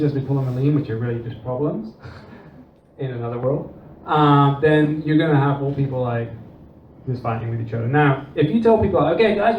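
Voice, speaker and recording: A man with a Dutch accent, giving an animated conference talk and acting out quoted dialogue. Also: mid-range voice. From Netherlands and England. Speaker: conference presenter. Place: conference room. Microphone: distant room recording. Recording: distant, roomy, dull with faint noise.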